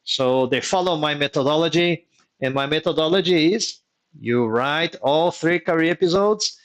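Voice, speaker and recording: A man whose first language is Portuguese gives advice to engineers in a live online session. His voice sits a little higher than most men's. He talks in a methodical, teaching way and comes back to his key points so they stick. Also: moderate pace. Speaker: engineering migration mentor hosting a workshop.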